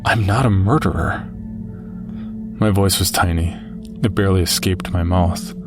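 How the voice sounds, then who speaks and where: A man playing a narrator who recounts a frightening encounter with a monster in measured, grave, scripted first-person storytelling. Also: sits low, close to an everyday voice. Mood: grave, uneasy. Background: music.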